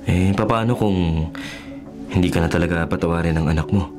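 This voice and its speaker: A man's voice: deep voice, brisk, music in the background, natural voice. An actor playing a skeptical young male journalist with a Filipino accent, hesitant and evasive, in a radio drama. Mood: skeptical probing.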